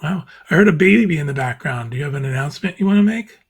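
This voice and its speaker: A man hosting a casual video panel chat, introducing guests and asking questions in a friendly, steady way. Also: on the high side, fast talker, vocal fry, breathy edge. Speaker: podcast host.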